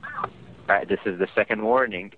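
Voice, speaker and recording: A man's voice: lowish voice, moderate pace. A man working as a customer support agent, talking formally and patiently over a telephone. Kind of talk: polite scripted phone service talk. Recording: thin, noisy telephone line.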